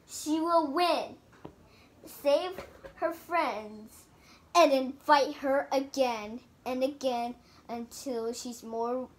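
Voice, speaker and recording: Child storyteller, high voice, dramatic and halting, making up a toy fairy tale at an unhurried, slow pace. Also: fairly bright tone, a little hiss or hum. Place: indoors in a room at home.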